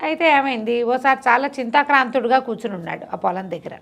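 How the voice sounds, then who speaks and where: Creaky-voiced woman storyteller, fairly high. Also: quick pace, fairly bright tone. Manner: chatty, explanatory, listing details with repetition. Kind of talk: conversational bedtime folk storytelling.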